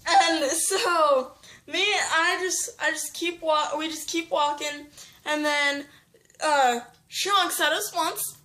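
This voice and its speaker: A woman telling a story in casual chat, rambling with fillers and restarts and getting animated. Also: high voice, takes it slowly. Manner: animated, breaks into sound effects.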